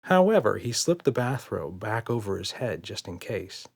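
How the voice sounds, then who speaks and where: Animated male children's story narrator. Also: fairly low pitch, moderate pace, creaky. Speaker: audiobook narrator.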